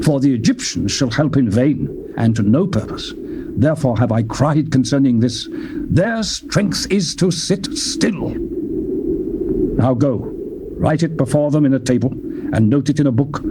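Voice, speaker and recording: A declamatory prophet, voiced by a British man, calls out judgment in a slightly raised voice. His lines rise to soaring peaks and fall to near-hushed tones. A constant noisy hiss and outdoor birdsong sit under the recording. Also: fairly high pitch, lightly acted. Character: impassioned male prophet proclaiming judgment. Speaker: actor voicing the prophet Isaiah. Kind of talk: dramatized scripture reading.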